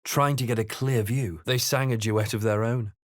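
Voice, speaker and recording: Male video game hero, acted by a man: mid-pitched, introspective, watchful, deliberate delivery, close dry recording. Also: fairly even intonation, no room sound, lightly acted. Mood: grave, brooding. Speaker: video game player character. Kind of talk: scripted narration and character lines for a fantasy game.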